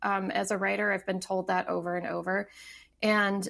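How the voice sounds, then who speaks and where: A woman's voice: medium pitch, level tone, normal speed, vocal fry, a bit bright. A woman in her thirties, a novelist talking casually as a podcast guest, thoughtful, with many fillers and restarts. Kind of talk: casual interview talk.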